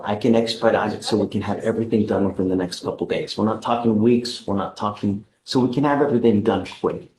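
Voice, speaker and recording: A man speaking as a judge at a courtroom hearing, measured and deliberate, stern but patient. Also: lowish voice, darkish tone, a little hiss or hum, rough recording.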